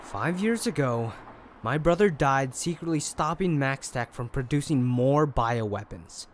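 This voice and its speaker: A man's voice: medium pitch, expressive, a little slow, lightly acted. A man playing a driven, guarded undercover agent in an audio crime drama. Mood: guarded, grave. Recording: faint music behind.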